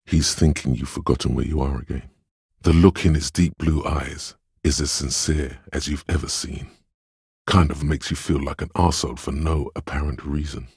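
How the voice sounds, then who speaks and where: A very deep, husky inner voice of empathy. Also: male voice, darkish tone, no room sound, studio quality, heavily acted. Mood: tender, perceptive. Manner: measured, solemn, intimate delivery. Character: inner voice of empathy, gentle, perceptive, sorrowful narrator. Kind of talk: scripted second-person game narration.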